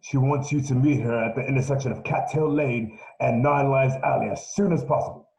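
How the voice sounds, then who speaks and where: A man's voice: mid-range voice, fairly quick, darkish tone, echoey room, close to an everyday voice, low-quality recording. A man playing a theatrical police commissioner. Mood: urgent. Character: middle-aged police commissioner.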